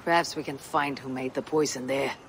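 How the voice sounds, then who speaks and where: A woman's voice: rumbling low, steady tone, a little fast, slight background noise. An actress playing a stoic female Viking warrior in a video game, terse and resolute. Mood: stern. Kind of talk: video game cutscene acting.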